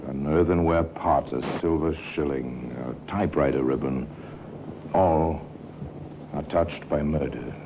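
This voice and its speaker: Very deep, ominous old-time radio narrator. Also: male voice, muffled recording. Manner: slow, theatrical, ominous, with dramatic pauses. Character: grave, macabre male narrator and museum guide. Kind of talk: 1950s radio crime drama narration. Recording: hissy old 1950s radio recording.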